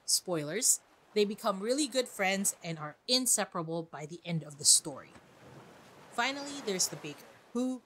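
A woman's voice: mid-range voice, a bit bright, low-quality recording. A woman hosting a podcast, giving chatty solo commentary on literature with wry, playful asides. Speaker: podcast host.

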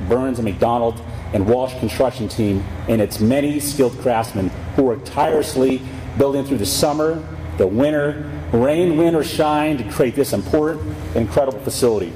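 A military commander, a man with a dark, relaxed tone, gives a formal prepared address. His phrasing is measured and declamatory. The sound carries long hangar reverb and a clear bed of ambient noise. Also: mid-range voice. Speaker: military squadron commander speaking at ceremony. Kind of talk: prepared ceremonial speech. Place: large open hangar facing airfield.

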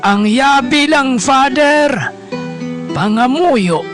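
An elderly, fatherly bishop for a radio drama, in a very high voice. He counsels slowly and emphatically with a Hiligaynon Filipino accent, over clear background music. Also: expressive, full character voice. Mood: earnest counsel. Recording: music under the voice.

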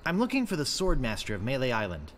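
A man's voice: medium pitch, wildly swooping pitch, quick pace, a little hiss or hum. A man playing a naive, eager young would-be pirate in a video game, earnest and boyish. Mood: earnest, wry.

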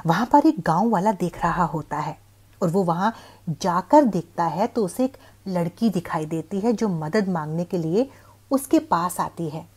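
A woman's voice: lowish voice, lively intonation, unhurried, a little mellow, slightly rough. A woman narrating a scripted horror story over a noisy background.

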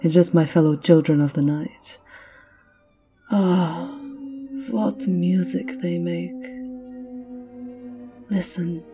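Woman playing a dark-voiced, slow, seductive vampire countess. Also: lowish voice, steady tone. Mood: seductive, possessive. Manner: imperious, slow, teasing, often dropping to breathy whispers. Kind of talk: ASMR roleplay monologue. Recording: violin music behind the voice.

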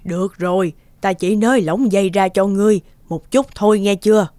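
A woman voicing a soft-hearted old farmer's wife in a cartoon, mildly grumbling. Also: mid-range voice, fairly quick, lightly acted. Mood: reluctant. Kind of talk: animated cartoon dialogue.

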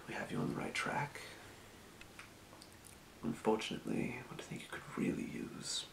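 A man playing a calm fantasy potion shopkeeper in a breathy, measured, courteous whisper for an ASMR role play. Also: fairly low pitch, reverberant, noisy background, pitched above the performer's own voice, full character voice. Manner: measured, murmuring, courteous merchant patter. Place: small indoor room.

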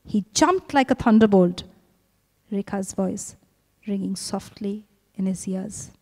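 A middle-aged woman with an Indian accent gives a measured literary reading, pausing deliberately between phrases. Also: medium pitch, a little mellow. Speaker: presenter reading literary prose and verse. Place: stage in a large hotel ballroom. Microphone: handheld microphone. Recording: reverberant hall, live stage microphone.

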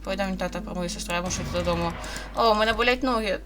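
A woman's voice: medium pitch, very fast, music in the background, close to an everyday voice. A woman with a Ukrainian accent voicing a troubled, anxious young girl from a horror visual novel in an earnest, dramatic read. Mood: weary, pained.

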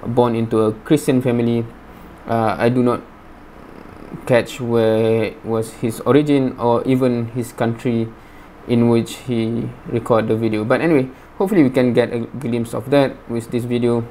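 A man in his thirties hosting a reaction video from a small room with bookshelves, talking in a measured, hesitant way through an earphone mic. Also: mid-range voice, a little mellow, a little hiss or hum. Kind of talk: reaction commentary.

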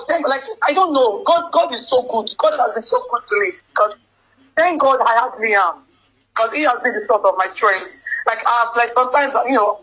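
A young woman in an emotional personal phone conversation, speaking haltingly and often repeating her words. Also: fairly high pitch, fairly bright tone. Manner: halting, repetitive phrasing. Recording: band-limited leaked phone call with music. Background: music.

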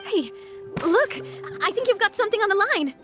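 A woman voicing a cheerful, sincere young woman leader in a video game, speaking in a gentle, earnest, measured way. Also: very high-pitched, lively intonation. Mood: excited. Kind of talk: animated video game voice acting. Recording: soft music behind the voice. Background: soft game music.

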